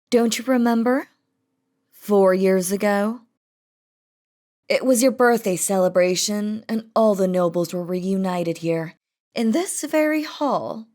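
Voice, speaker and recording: A woman's voice: medium pitch, a little mellow, natural voice. A woman voicing an imperious, possessive, lovestruck demon queen in a scripted roleplay audio drama, with a haughty, theatrical delivery. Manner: haughty, theatrical, slow and deliberate.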